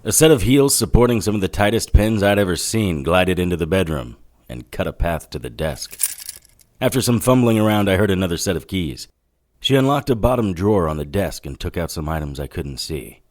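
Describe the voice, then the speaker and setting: An actor playing a wry, hardboiled 1940s private detective, narrating in dry, clipped noir style. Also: male voice, low-pitched, big pitch swings, brisk, darkish tone. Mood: watchful narration. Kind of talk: noir first-person scripted narration.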